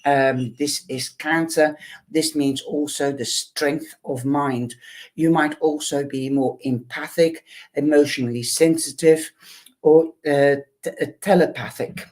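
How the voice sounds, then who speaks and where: Slow, hesitant man reading fortunes. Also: fairly high pitch, steady tone, darkish tone. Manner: hesitant, many ums, addresses viewer fondly. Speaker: tarot and tea-leaf reader.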